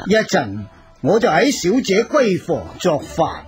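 An actor playing a calm, authoritative old feng shui master in a period radio drama, speaking in a measured way. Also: male voice, high voice, faint music behind, full character voice. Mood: solemn.

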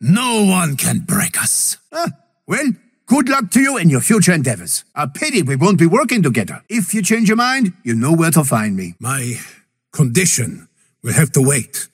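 Husky, animated gentleman adventurer. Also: a little high, moderate pace, exaggerated voice. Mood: jovial. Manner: theatrical, courteous, punctuated with tag questions. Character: hearty, gentlemanly adventurer and fighter, jovial, dauntless. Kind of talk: video game character voice lines.

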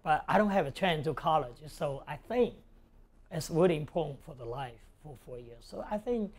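A middle-aged restaurant owner from Hong Kong with a Cantonese accent, talking casually in halting English in an interview. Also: male voice, a little high, animated. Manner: halting, non-native English phrasing. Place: indoors in a restaurant booth. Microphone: lapel.

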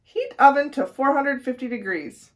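A woman's voice: sits high, lively intonation, normal speed, voice pushed up. A woman voicing a sensible, instructive turtle in a storybook reading. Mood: matter-of-fact. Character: sensible, instructive turtle who reads recipes.

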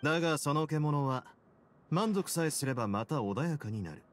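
A man's voice: a little high, animated, soft background music, close to an everyday voice. A man as a self-assured, shrewd duke. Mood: assured, reflective. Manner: casual, assured, measured philosophical explaining. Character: self-assured, shrewd duke who runs a prison fortress. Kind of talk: game dialogue acting.

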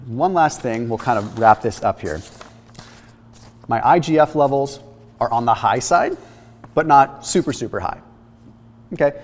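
A man in his thirties talks straight to the camera, walking through his lab results with energetic, emphatic explanation. His voice sits at an ordinary middle pitch, and a lingering reverb trails his words around the kitchen studio set. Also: a little slow, long pauses. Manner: energetic, explanatory, emphatic, gesturing while holding papers. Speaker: health and fitness channel host.

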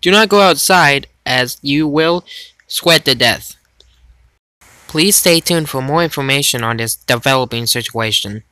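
A man's voice: medium pitch, unhurried, pushed and bright, a little hiss or hum. Robotic male emergency alert announcer. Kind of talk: formal scripted emergency broadcast announcement.

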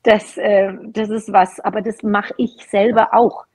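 A woman's voice: mid-range voice, little pitch movement, a little slow, darkish tone. A woman in her fifties with a Franconian accent, chatting casually as a podcast guest over a video call. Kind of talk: casual chat. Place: small bright room at a dining table. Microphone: earbuds on a video call.

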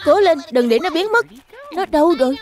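A performer voicing an excitable, childlike little bear cub with urgent, exclamatory delivery in a children's cartoon. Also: very high-pitched, a little fast, soft background music. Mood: urgent, excited. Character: excitable little bear cubs, bold and squabbling.

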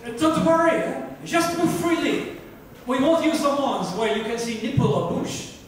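A man voicing an excitable, naughty male photographer directing a shoot in a hesitant, exclamatory way at a dramatic book reading. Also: extremely high pitch, normal speed, pitched above the performer's own voice. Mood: reassuring. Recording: echoey room, far mic, faint noise.

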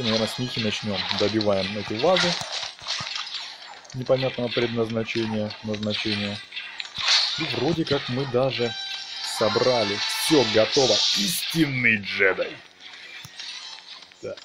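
Man narrating gameplay over clear music. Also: medium pitch. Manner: talks to viewers, exclaims in interjections. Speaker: gaming video narrator. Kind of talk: casual gameplay commentary. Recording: music behind the voice. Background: game music.

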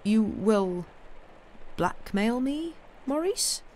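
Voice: mid-range voice, big pitch swings, extremely slow, darkish tone, slight background noise, pitched above the performer's own voice. A narrator playing a timid, browbeaten man in an audiobook, mumbling and hesitant, as if dazed. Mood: timid, wary. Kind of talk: dramatic audiobook character voice.

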